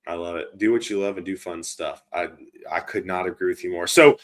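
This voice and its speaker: Fast-talking enthusiastic male host. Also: deep voice. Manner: enthusiastic, quick to affirm. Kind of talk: casual interview.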